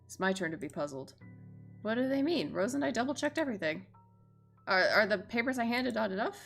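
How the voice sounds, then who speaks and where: A woman's voice: a little high, animated, fairly quick, vocal fry, fairly bright tone, faint music behind. A woman streamer reading a visual novel aloud expressively, with casual, sweary reactions of her own.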